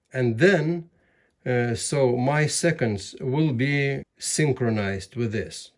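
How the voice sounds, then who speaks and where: A man giving casual instructional talk as a hobby video host, in a halting way with repeated words. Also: medium pitch, a little mellow. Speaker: amateur radio video host.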